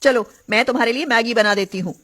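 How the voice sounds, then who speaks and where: A woman's voice: on the high side, quick pace, a bit bright. A woman voicing a snobbish middle-aged mother who nags and disapproves in a story. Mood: disapproving. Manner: nagging, disapproving.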